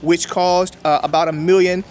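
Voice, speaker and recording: A man's voice: high voice, little pitch movement, normal speed, a little hiss or hum. A man whose first language is Hebrew, explaining history emphatically in an interview outdoors. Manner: emphatic, lecturing, with many "right?" checks. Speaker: interview guest.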